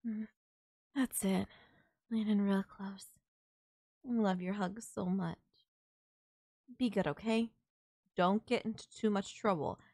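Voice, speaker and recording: A woman's voice: medium pitch, slow pace, long pauses, no echo, clean studio sound. A woman playing a tender, reassuring alien girlfriend in an intimate, bittersweet romantic audio roleplay. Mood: affectionate, teasing. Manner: soft-hearted, reassuring, gently teasing, addressing a lover. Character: tender alien girlfriend saying a sad goodbye.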